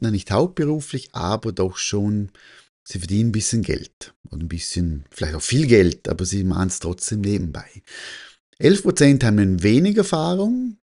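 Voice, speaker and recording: A man with an Austrian-Swiss German accent talking informally and presenting survey results, halting and correcting himself as he thinks aloud. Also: on the low side, warm tone. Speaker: photography teacher and newsletter host.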